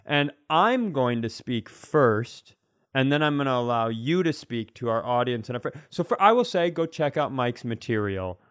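A man's voice: mid-range voice, lively intonation, normal speed, smooth, no echo, clean studio sound. A man co-hosting a comedy podcast, bantering casually in a wry, mock-serious way.

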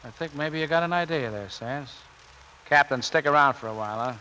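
An actor playing a tough, blunt, commanding Navy chief with an American accent, in 1940s radio drama style. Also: male voice, fairly high pitch, a little fast, sharp tone. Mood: brisk command. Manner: gruff, blunt, authoritative. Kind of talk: 1940s radio acting, narration and dialogue. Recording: noisy old radio broadcast.

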